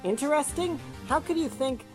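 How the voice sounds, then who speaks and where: A stern, scolding mentor to a rookie lawyer acted by a woman in an audio drama. The voice swings expressively at a medium register, serious and easily exasperated, over clear background music. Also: sits high, animated, normal speed. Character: strict, easily exasperated prey-animal legal mentor. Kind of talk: amateur audio drama acting. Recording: music bed under the voice.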